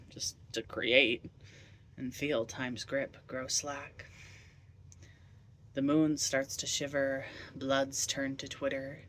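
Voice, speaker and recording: A man recites poetry at a very unhurried pace. His mid-pitched voice is deliberate and theatrical, with a whimsical streak that suits a poet performing verse. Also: a bit bright, a little hiss or hum. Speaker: poet reading own poems.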